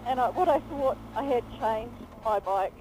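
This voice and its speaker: A woman's voice: fairly high pitch, normal speed, poor audio. A woman telling funny stories in casual chat, giggling often. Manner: giggly, animated storytelling. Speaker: vlogger. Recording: road noise behind.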